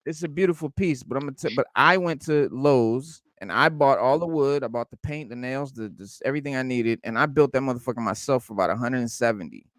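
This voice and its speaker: A man's voice: medium pitch, quick pace, few pauses, fairly bright tone, no echo, clean studio sound. A man co-hosting a casual toy unboxing chat, rambling and profane, often restarting sentences. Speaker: podcast co-host.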